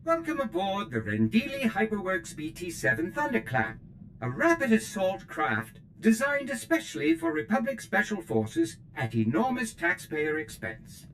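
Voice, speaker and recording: A man's voice: sits high, huge pitch swings, moderate pace, a bit bright, a little hiss or hum, heavily acted, rough recording. An actor voicing a fussy, eager-to-please male steward droid who speaks formally and chirpily in a video game. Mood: eager servile.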